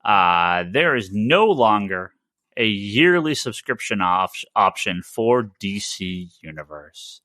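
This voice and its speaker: A man's voice: lowish voice, very animated, takes it slowly, bright, forceful tone, dry recording. A man hosting a casual, informal discussion of comics news. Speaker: podcast host.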